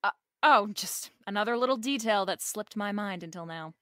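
A wry female private eye from a radio detective drama. She is confident and slangy, wisecracking in a mid-pitched voice. The sound is close and dry. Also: animated, normal speed, sharp tone, no room sound. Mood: breezy, confident.

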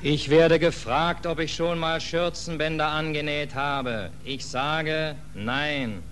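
An actor playing a wry, hapless stateless drifter in a 1940s German radio play, deadpan and mock-polite. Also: male voice, fairly high pitch, slow pace. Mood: deadpan reporting. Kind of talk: 1940s German radio play acting and first-person narration. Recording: slightly noisy 1940s radio recording.

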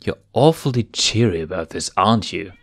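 A man's voice: sits low, lively intonation, a little fast, a bit bright, faint music behind, exaggerated voice. A man voicing a confident, sarcastic young male lion cub in a fanfic reading. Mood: playful.

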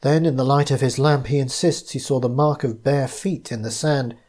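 A man reading his own first-person story as scripted narration, in a measured, literary way. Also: medium pitch, steady tone, darkish tone.

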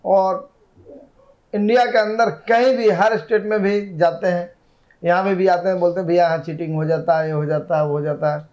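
A middle-aged man with a rather high voice answers interview questions in a plain, earnest way. His sound is dull and lo-fi, recorded in an indoor office. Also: level tone, normal speed, darkish tone. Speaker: shop owner interviewed. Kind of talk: casual interview.

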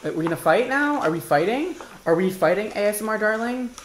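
Animated, sassy young American man, roomy sound. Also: high voice, a little fast, a little hiss or hum. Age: 20s. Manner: sassy, exclamatory, campy. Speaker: host, hairdresser. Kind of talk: casual reaction commentary. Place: indoor studio set at a desk.

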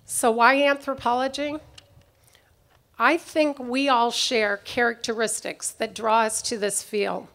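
A middle-aged woman giving a prepared commencement speech at an outdoor podium microphone, in a measured, reflective way. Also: a little high, fairly even intonation, vocal fry, fairly bright tone. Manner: measured, reflective delivery reading from notes. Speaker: commencement speaker. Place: outdoor ceremony stage.

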